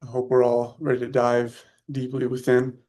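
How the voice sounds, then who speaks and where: A man leading a guided meditation in short, unhurried, soothing phrases with long pauses between them. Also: medium pitch, monotone, a little mellow.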